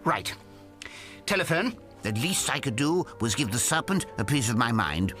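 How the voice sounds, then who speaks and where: A man's voice: mid-range voice, very animated, moderate pace, music in the background, lightly acted. An actor playing an impassioned, gallant English amateur astronomer in a radio drama, emphatic and old-fashioned in his speech. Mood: indignant. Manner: old-fashioned upper-class turns of phrase, emphatic.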